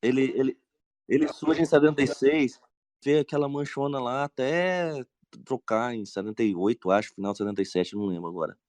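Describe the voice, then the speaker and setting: A man's voice: mid-range voice, brisk, darkish tone, no room sound. A Brazilian man chatting casually and in slang as a panelist in a roundtable. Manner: informal, slangy. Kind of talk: casual chat.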